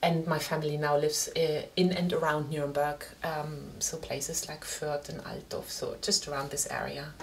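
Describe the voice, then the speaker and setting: A woman's voice: low-pitched, level tone, moderate pace, few pauses, a little hiss or hum, poor audio. A woman in her forties from East Germany with a German accent, explaining her background plainly in a documentary interview at home. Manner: plain, matter-of-fact explaining. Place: room at home.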